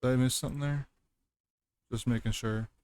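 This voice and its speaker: A man doing casual reaction commentary and reading aloud, halting as he goes and repeating himself over stumbles. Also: medium pitch, steady tone, darkish tone, clean tone, dry recording, clean studio sound. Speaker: reaction video host.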